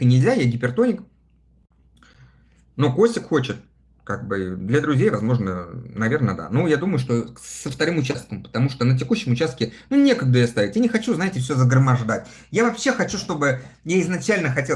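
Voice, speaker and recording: A man in his thirties chatting casually on a livestream, reading viewer comments aloud and answering them between laughs. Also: medium pitch. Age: 30s. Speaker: live streamer reading viewer chat.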